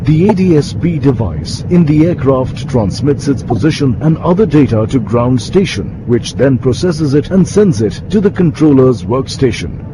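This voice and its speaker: A man's voice: mid-range voice, very animated, normal speed, hardly any pauses, background noise. A man delivering scripted documentary narration, as a television narrator. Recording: loud music bed under the voice.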